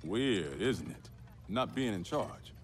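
A man's voice: lowish voice, lively intonation, slow, a little hiss or hum. An actor playing a wry, laconic soldier and father in a video game. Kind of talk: video game cutscene acting.

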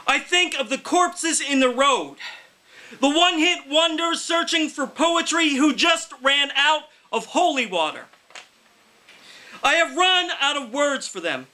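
High, forceful man declaiming poetry. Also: animated. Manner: emphatic, shouted delivery in drawn-out phrases. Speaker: poet, featured reader. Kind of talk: loud declamatory poetry reading. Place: indoor live poetry reading in a small venue.

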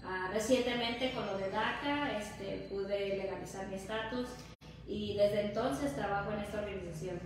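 A woman's voice: medium pitch, normal speed, recorded from a distance. A middle-aged woman from Mexico with a Mexican Spanish accent, explaining conversationally as an interview guest. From Hidalgo, Mexico. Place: indoor lounge nook with brick wall and concrete floor. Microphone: distant camera or phone. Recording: echoey, noisy room, off-mic.

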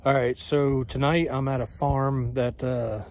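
A man in his forties with a Texan accent, filming himself on a phone while bowhunting, talking in a relaxed, plain-spoken way. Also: mid-range voice, unhurried. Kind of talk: casual self-filmed hunting vlog. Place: pickup truck cab and outdoors on a farm. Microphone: phone camera at arm's length.

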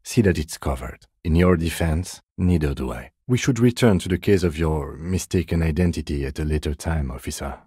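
An actor playing a calm, dutiful middle-aged police detective in a video game, with a measured, deadpan, politely formal delivery. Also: male voice, extremely low pitch, breathy edge, dry recording, studio quality. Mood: measured, dry. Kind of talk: scripted game character dialogue.

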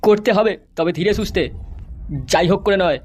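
Cartoon gangster politician, performed by a man: boastful, threatening, fast delivery in a raised register. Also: high-pitched, fairly even intonation. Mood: scheming. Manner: menacing, swearing, boastful. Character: vengeful foul-mouthed male gangster politician, the big boss. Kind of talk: comedy cartoon dialogue.

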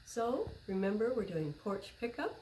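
A woman in her sixties reading a picture book aloud to children, expressive and deliberate, as a library storyteller. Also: medium pitch. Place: small public library room, indoors. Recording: roomy echo, faint background noise.

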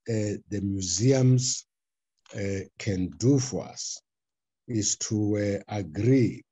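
An older man lectures from his study over a video call. His voice is deep and warm, with a husky edge. He moves deliberately through his points, often pausing on filler sounds. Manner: deliberate, hesitant delivery with frequent uh fillers and restarts. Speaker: panelist presenting a paper. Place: study with bookshelves, indoors. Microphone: laptop webcam on a video call.

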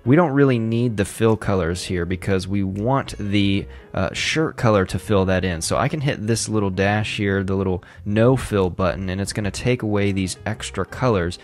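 A man in his thirties hosting a design tutorial, talking in a relaxed, chatty way with fillers and light laughs into a close studio mic. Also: on the low side, normal speed, hardly any pauses. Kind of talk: casual screen-recorded tutorial. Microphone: studio microphone close up.

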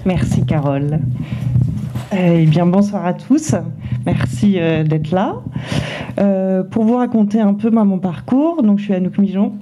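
A woman architect from the Paris suburbs presenting her career at a library talk, measured with many pauses. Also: medium pitch, warm tone, lo-fi sound, slight background noise. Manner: measured, with frequent pauses and fillers. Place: library event room.

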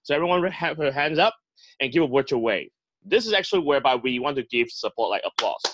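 A man's voice: medium pitch, animated, fast talker, muffled recording, background noise. A man hosting an online club meeting over a video call, introducing panelists in an upbeat emcee manner. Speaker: host.

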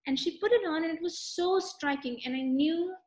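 A woman's voice: very high-pitched, normal speed. A young woman, an author, telling family stories on a video call with an eager, detailed storytelling manner. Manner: warm storyteller, lingering on striking details. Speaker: author being interviewed. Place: room with bookshelves, indoors. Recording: echoey room on a video call.

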